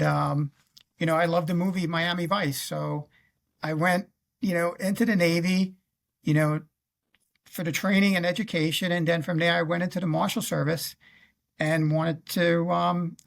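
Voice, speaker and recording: A man in his fifties with a New York accent recounts his career in an interview on a video call, pausing often as he goes. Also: fairly high pitch, creaky. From Queens, New York. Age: 50s. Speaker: guest, retired federal law officer and navy veteran. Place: indoor room on a video call.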